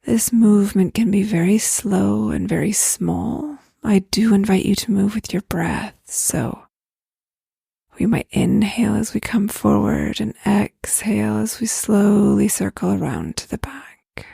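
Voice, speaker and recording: Female meditation guide: very husky and breathy, heavy creak, gentle slow delivery. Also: medium pitch, no echo, clean studio sound. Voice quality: soft, breathy, near-whisper. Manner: gentle, unhurried, spacious phrasing. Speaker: guided meditation leader, host.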